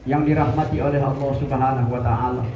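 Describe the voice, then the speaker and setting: A man in his sixties giving a Friday mosque sermon, measured and building in emphasis. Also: medium pitch, fairly even intonation. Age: 60s. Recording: echoey, distant, dull mosque recording with light noise.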